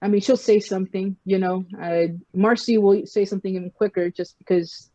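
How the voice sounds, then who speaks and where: A woman's voice: medium pitch, steady tone, brisk, dark tone, no echo. A woman with a South Texas accent, speaking frankly as a guest in a casual podcast conversation. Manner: frank, conversational, many fillers. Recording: slightly muffled lo-fi sound.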